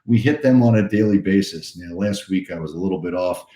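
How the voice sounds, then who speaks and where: A man hosting a live cold-calling stream, talking in an energetic, motivational way, his voice a little hoarse and worn. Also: sits low, a little fast, reverberant. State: hoarse, voice a bit shot. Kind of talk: casual pep-talk livestream chat.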